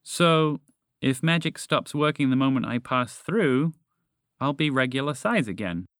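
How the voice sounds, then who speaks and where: An earnest storybook moose, played by a man, with a very lively, slightly raised voice. He questions and argues, doubtful and easily irritated. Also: medium pitch, unhurried, dry recording, studio quality. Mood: reasoning.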